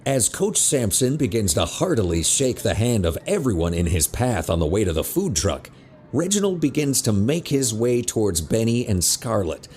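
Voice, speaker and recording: A man's voice: lowish voice, sing-song, moderate pace, a little mellow, slight background noise. A man narrating a scripted teen audio drama as a wry storyteller. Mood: descriptive.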